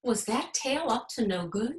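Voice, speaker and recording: A woman's voice: on the high side, animated, normal speed. A woman reading a children's storybook aloud expressively, like a school principal addressing young listeners. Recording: roomy echo.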